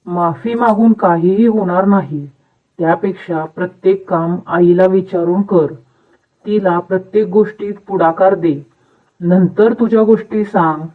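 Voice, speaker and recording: A man's voice: high voice, fairly even intonation, a little slow. A man playing a devoted, reasonable young husband who explains and advises in a dubbed moral story. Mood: advising. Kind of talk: animated moral story dubbing. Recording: muffled, narrow phone-like sound, distant.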